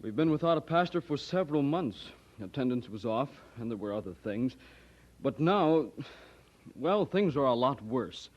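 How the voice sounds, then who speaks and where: An actor playing a polite, worried church representative in a radio drama, measured and deferential. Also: male voice, mid-range voice, animated, lightly acted. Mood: worried, pleading. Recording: dull old radio drama recording.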